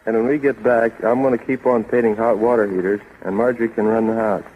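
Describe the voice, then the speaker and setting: A man's voice: mid-range voice, steady tone, fast, phone-quality sound. A thin old radio recording with faint noise carries a man in his twenties. His voice sits in a middle register as he answers like a rehearsed interview guest. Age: 20s. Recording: thin, slightly noisy old radio broadcast.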